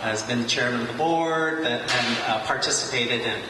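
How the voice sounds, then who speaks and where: A man's voice: mid-range voice, normal speed. Man, informal welcome speech, frequent fillers, noisy roomy venue. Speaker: wealth advisor hosting an event. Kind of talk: welcome speech at an evening event. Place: large echoey venue, a car showroom. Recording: echoey hall from far off, noisy.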